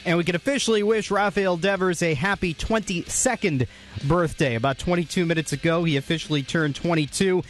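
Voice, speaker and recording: A man with an American accent and a high-pitched voice narrates a sports recap. He moves through the game's highlights as a radio host. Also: fairly quick, faint music behind.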